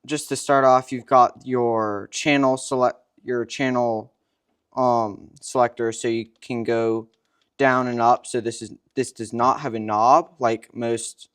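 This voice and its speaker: A man's voice: medium pitch, level tone, a little slow, smooth. A young man co-presenting, explaining equipment step by step in a matter-of-fact, instructional way.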